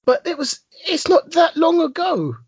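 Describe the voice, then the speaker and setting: A man's voice: very high-pitched, sing-song, a little slow, no room sound. A man co-hosting a podcast, telling a long story with a lot of enthusiasm and rambling asides. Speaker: podcast co-host. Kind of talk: casual chat.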